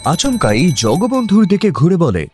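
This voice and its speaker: A man giving expressive scripted narration as the storyteller of a radio drama. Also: high-pitched, a little slow, soft background music.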